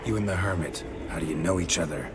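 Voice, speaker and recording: A man plays a stoic monster hunter from a fantasy game. His voice is extremely low, and he speaks in blunt, clipped sentences without fuss. Loud noise sits behind the voice. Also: fast talker, lightly acted. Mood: stoic, probing. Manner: terse, matter-of-fact, clipped sentences. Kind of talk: restrained video game acting.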